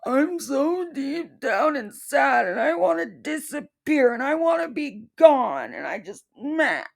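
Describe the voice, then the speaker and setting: Lady doing a squeaky whiny streamer voice, sing-songy and mocking, way up high. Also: big pitch swings, slow pace, vocal fry, heavily acted. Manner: sing-song, mocking, repetitive.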